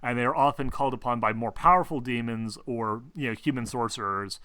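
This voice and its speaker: Bright-voiced man narrating haltingly, dry sound. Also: mid-range voice, no echo. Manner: halting, explanatory. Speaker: game master. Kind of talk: improvised tabletop game narration.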